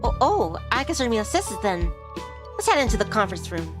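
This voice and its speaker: A woman's voice: medium pitch, very animated, quick pace, over music, natural voice. A woman voicing a polite, eager young public relations worker, earnest and a little hesitant, in a visual novel. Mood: helpful, professional.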